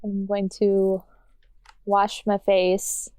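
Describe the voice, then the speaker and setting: A woman's voice: mid-range voice, monotone, drawn-out words, mellow, clean tone, dry recording. Casual woman player, close dry sound. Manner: informal, conversational. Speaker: player in a tabletop roleplaying game. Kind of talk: casual improvised roleplay chat.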